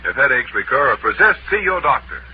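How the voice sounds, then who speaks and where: Animated American man, old-time radio announcer. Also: brisk, slightly rough. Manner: polished, emphatic sales pitch. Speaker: commercial announcer. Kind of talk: 1940s radio advertising. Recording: muffled old radio recording, faint noise.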